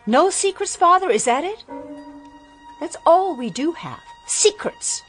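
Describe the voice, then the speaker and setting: A young actress playing a rebellious, impassioned daughter in a radio drama. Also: female voice, extremely high pitch, sing-song, measured pace, faint music behind, exaggerated voice. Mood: defiant. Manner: emotional, defiant, impassioned.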